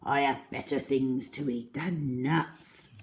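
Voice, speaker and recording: A woman's voice: rumbling low, fairly even intonation, takes it slowly, slight background noise. A woman voicing a wicked, greedy storybook crocodile who boasts and gloats with relish over each word. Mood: gloating.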